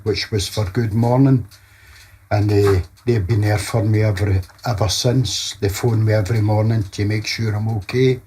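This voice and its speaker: A man's voice: fairly low pitch, a little mellow, a little hiss or hum. A middle-aged Scottish man giving a plain-spoken personal testimony, often ending phrases with small tags like "you know". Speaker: interviewee sharing a personal story.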